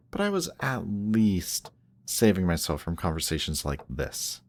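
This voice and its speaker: A man playing a grumpy, guarded villain who is secretly softhearted, speaking haltingly and defensively in an intimate one-sided roleplay audio. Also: low voice, full character voice. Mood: defensive, awkward. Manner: halting, defensive, reluctantly tender. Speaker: voice actor.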